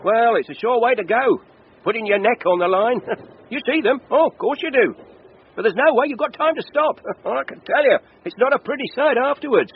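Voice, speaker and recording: A man's voice: very high voice, expressive, brisk. A man playing a chatty, chuckling veteran British train driver in a radio drama, wry and blunt. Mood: darkly jovial. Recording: dull, noisy radio recording.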